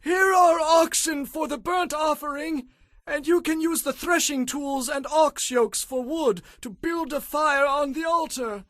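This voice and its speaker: A man's voice: very high-pitched, a little slow, fairly bright tone, dry recording, studio quality. A man voicing a humble, eager landowner who speaks deferentially to his king in dramatized scripture audio. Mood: eager, generous.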